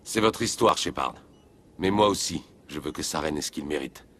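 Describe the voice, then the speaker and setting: An actor playing a keen, determined alien police officer in a video game, confident and matter-of-fact. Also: male voice, very deep voice, expressive, moderate pace, fairly bright tone, a little hiss or hum.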